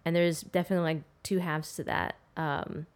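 A woman who grew up in the United States, chatting in a lively, animated way. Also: low-pitched, normal speed. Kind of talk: casual conversation.

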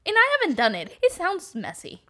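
A woman's voice: very high voice, wildly swooping pitch, fairly quick, sharp tone. A woman co-hosting a podcast in casual chat, giggling often and restarting her sentences. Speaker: podcast co-host.

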